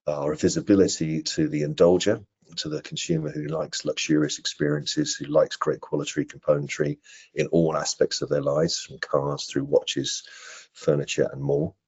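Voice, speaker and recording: Very deep, husky British man. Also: a little mellow. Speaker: product marketing director presenting. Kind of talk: prepared product presentation. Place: indoor room on a video call. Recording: dull, lo-fi video call audio.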